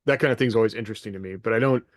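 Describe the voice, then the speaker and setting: Lowish-voiced man in dry, casual discussion. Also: very fast, dry recording. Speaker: podcast co-host.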